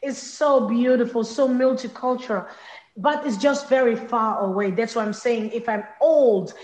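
A woman's voice: a little high, moderate pace, a little mellow, roomy sound, low-quality recording. A young woman from Congo whose first language is Lingala, speaking in a casual interview and often repeating words as she searches for phrasing. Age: young adult. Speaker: interview guest, singer.

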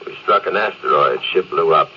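A man's voice: very deep voice, animated, fairly quick. A man playing a stern, stoic spaceship captain in an old-time radio drama, terse and authoritative. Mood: grim, controlled. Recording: muffled, hissy vintage radio recording.

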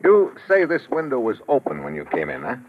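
An actor playing a blunt, hard-boiled American investigator and narrator in an old-time radio drama, talking in clipped slang. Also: male voice, medium pitch, wildly swooping pitch, a little fast. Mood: matter-of-fact. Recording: muffled, noisy vintage radio recording.

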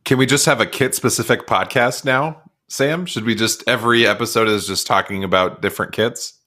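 A man with a deep voice co-hosting a casual sports podcast, easygoing and laughing often. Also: fairly quick, bright, forceful tone. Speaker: podcast co-host.

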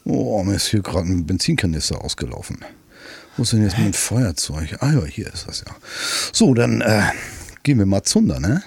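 A man playing a rough, folksy pub landlord in a comic radio play, rambling and boastful, with a husky voice. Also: on the low side, sing-song, moderate pace, a little mellow, no room sound, heavily acted. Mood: sly. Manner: folksy, rambling, tags sentences with "ne".

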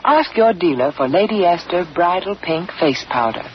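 A woman delivers a soft, persuasive 1940s radio commercial. Her pitch rises and falls freely, and the old broadcast sound is dull with faint hiss. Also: animated, normal speed. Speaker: advertiser spokeswoman.

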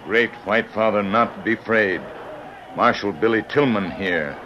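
Slow, solemn frontier companion, low voice. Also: male voice, sharp tone. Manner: halting, solemn broken English. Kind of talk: old-time radio western acting. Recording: muffled, noisy old radio recording.

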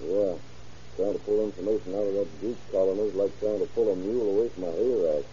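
An actor playing a brisk, dutiful sheriff's deputy in an old-time radio crime drama, reporting facts in a plain, official way. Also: male voice, sits low, fairly even intonation, mellow, close to an everyday voice. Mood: matter-of-fact. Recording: dull, noisy vintage radio recording.

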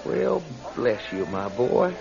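Creaky old traveling preacher, swooping pitch. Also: male voice, big pitch swings, slow pace, heavily acted. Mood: genial, pious. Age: older. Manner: courtly, unctuous, quaintly formal. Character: folksy traveling circuit preacher, older man, genial and pious. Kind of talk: radio drama acting. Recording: slightly dull radio recording.

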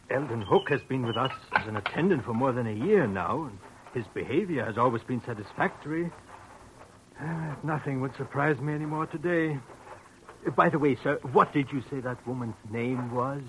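An actor playing a worried, formal sanitarium doctor in a 1940s radio drama, speaking with a hesitant, proper manner. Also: male voice, medium pitch, a bit bright, lightly acted. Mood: uneasy. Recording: noisy vintage radio recording.

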